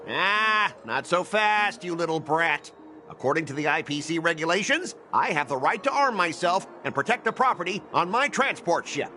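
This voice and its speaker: A man's voice: high voice, lively intonation, moderate pace, sharp tone, faint music behind. A man voicing an arrogant, hot-tempered company transport manager in a video game, pompous and condescending. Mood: indignant. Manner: condescending, blustering.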